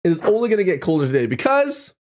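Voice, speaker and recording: A playful streamer talks casually to an online audience. The pitch jumps around with great liveliness, and phrases come out as exclamations, often repeated for effect. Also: very animated, quick pace, darkish tone. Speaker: livestream host. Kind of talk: casual livestream chat to viewers.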